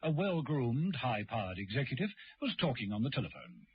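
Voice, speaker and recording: A man's voice: medium pitch, big pitch swings, fairly quick, slightly rough, no room sound. A man reading dramatic scripted narration for a radio play. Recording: dull old radio recording, music behind.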